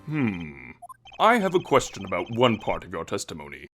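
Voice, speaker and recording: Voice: sits low, wildly swooping pitch, moderate pace, fairly bright tone, full character voice. An actor voicing a dignified, dithering older male judge in a video game fan dub, formal yet hesitant, over music. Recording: faint background music behind voice.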